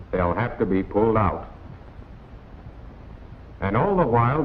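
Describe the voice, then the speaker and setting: An American man narrating a 1940s instructional film in a plain-spoken, colloquial, admonishing way. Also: mid-range voice. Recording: tinny, noisy 1940s film soundtrack.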